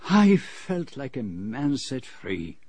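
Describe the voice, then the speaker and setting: A man playing a fussy detective who narrates his own story in a radio drama, with a measured, wryly dramatic manner. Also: medium pitch, sing-song, darkish tone, husky, no echo, heavily acted. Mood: relieved, wry.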